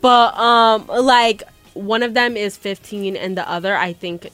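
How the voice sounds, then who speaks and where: A woman's voice: medium pitch, steady tone, unhurried, a bit bright, soft background music. A woman in her early twenties in a casual chat, self-deprecating and exclaiming, peppering her talk with "like". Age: early 20s. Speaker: college senior.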